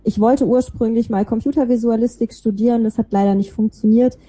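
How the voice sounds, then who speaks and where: A woman's voice: fairly high pitch, steady tone, fairly quick, mellow, husky, poor audio. A woman being interviewed, chatting casually and in a self-deprecating way about her own life and work. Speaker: interview guest, podcaster and small creative agency owner.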